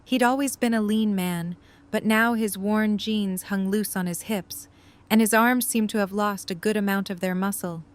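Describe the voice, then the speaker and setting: Female narrator, scripted fiction narration, mid-range pitch, audio drama storytelling. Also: fairly even intonation, normal speed.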